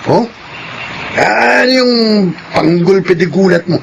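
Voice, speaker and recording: A man's voice: sits high, animated, slow pace, creaky, background noise, full character voice. A man playing a jovial drinking buddy and sidekick of a thug in a Filipino television drama, with a Filipino accent. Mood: curious, teasing.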